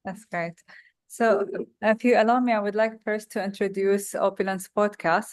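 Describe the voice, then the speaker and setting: A woman hosting a podcast interview, asking questions politely and in a measured way with some fillers. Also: mid-range voice, fairly even intonation, no echo. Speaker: podcast host.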